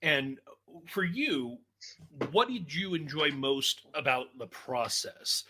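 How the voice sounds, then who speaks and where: Bright-voiced man interviewing casually. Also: on the high side, sing-song, slow pace, a little hiss or hum. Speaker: podcast interviewer.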